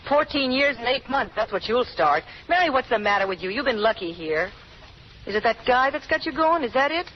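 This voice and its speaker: A woman's voice: on the high side, lively intonation, brisk, natural voice. An actress playing a brassy, hard-boiled woman ex-convict in an old radio drama, blunt and slangy. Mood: scolding. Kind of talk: 1930s-40s radio drama acting. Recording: noisy dull old radio recording.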